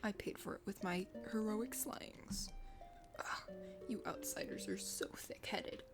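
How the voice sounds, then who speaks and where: A woman's voice: medium pitch, a little slow, in a whisper, loud music behind the voice, pitched below the performer's own voice, close to an everyday voice. A woman voicing a haughty, vain young warrior prince in a video game read-aloud, fussy and indignant. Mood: haughty, huffy. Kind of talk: breathy ASMR game character read-aloud.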